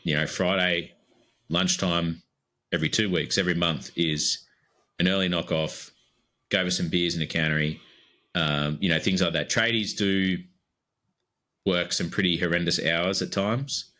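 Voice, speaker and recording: A man's voice: deep voice, fairly even intonation, moderate pace, pauses often, pushed and bright. An Australian man co-hosting a podcast, chatting casually and easily, with plenty of fillers as he rambles. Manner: easygoing, rambling, lots of fillers. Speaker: podcast co-host.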